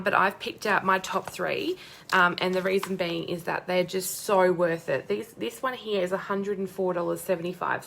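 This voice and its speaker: A young woman with a hoarse, croaky voice chatting bubbly on a live stream, greeting viewers by name. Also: on the low side, bright, forceful tone, slight background noise. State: hoarse, losing her voice. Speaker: crafting demonstrator hosting a live stream.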